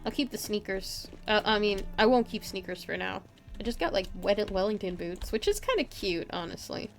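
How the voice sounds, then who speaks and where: A woman giving excitable live gameplay commentary, talking to herself and to her viewers. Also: mid-range voice, normal speed, faint music behind. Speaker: game streamer. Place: indoors, streaming at a computer.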